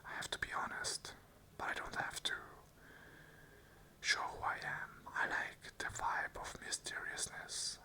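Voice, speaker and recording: An ASMR host whispering an unscripted monologue of earnest, repeated affirmations in short phrases. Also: measured pace. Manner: whispers the whole way, soft and unvoiced.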